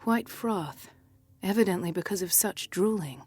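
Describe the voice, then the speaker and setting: An actress playing a composed, caring and determined hospital doctor in a video game, with a measured clinical delivery. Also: female voice, mid-range voice, expressive, a little mellow, husky, faint music behind. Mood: urgent, commanding. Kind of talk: video game cutscene acting.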